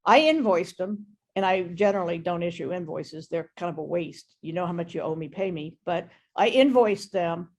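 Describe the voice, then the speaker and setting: A woman's voice: fairly low pitch, normal speed, hardly any pauses. A woman speaking frankly and with firm opinions in a conversational business talk over an online meeting, as a guest expert.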